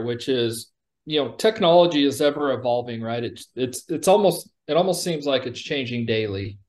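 A middle-aged American man, a company executive being interviewed on a video call, pitching conversationally and often checking in with "right?". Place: indoor room, on a video call.

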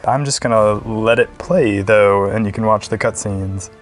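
A man giving casual, relaxed gameplay commentary as a channel host. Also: on the low side, normal speed.

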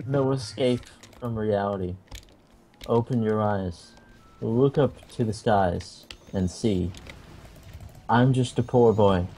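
A mid-pitched man slowly recites song lyrics as poetry over voice chat, struggling to keep a straight face. A faint noise floor hums under the recording. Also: mellow. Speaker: gamer on voice chat.

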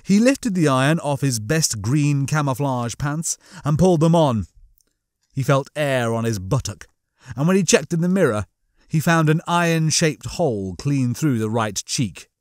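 A man reading comic fiction as a scripted audiobook, with a theatrical, expressive storytelling manner. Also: fairly high pitch, unhurried, no room sound, clean studio sound. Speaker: audiobook narrator.

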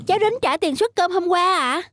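A woman's voice: very high-pitched, lively intonation, fairly quick, fairly bright tone, a little hiss or hum. A woman voicing a poor young boy in a cartoon, polite, timid and hesitant. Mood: grateful, shy. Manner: hesitant, respectful. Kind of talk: cartoon voice acting.

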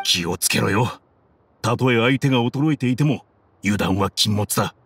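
An actor playing a wary, seasoned male desert mercenary in an anime game, with a gravelly voice. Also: on the low side, normal speed, fairly bright tone. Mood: cautious.

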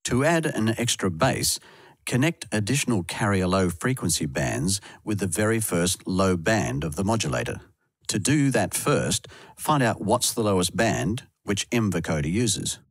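A man with a low, husky voice narrates a scripted tutorial. His pitch moves around in a lively way, and the close voice-over sound is dry. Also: a little slow, no room sound.

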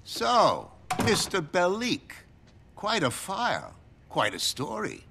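An actor playing a smug, cynical middle-aged American government agent, sardonic and commanding, in a video game cutscene. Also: male voice, high-pitched, huge pitch swings, takes it slowly, gravelly, background noise, exaggerated voice.

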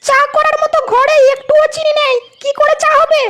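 A woman voicing a sharp-tongued, nagging old housewife and grandmother in a comedy cartoon. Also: extremely high pitch, little pitch movement, normal speed, a little hiss or hum.

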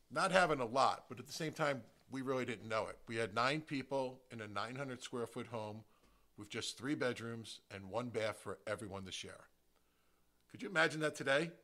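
A middle-aged American man from New Jersey hosting a podcast, giving a prepared solo introduction with emphatic, deliberate phrasing. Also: mid-range voice, fairly bright tone. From New Jersey, United States. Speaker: podcast host, real estate agent.

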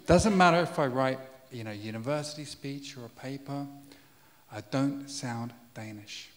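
A man's voice: mid-range voice, moderate pace, crowd noise. A man asking an earnest, slightly hesitant question from the audience. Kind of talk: conference Q&A. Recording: echoey lecture hall.

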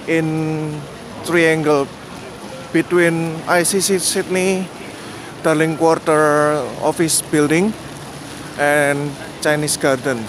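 A man narrating a walking tour outdoors, pointing out landmarks slowly and plainly, with pauses and fillers. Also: fairly high pitch, little pitch movement. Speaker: vlogger giving a walking tour. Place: outdoors on rainy city streets. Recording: outdoor city noise behind the voice.

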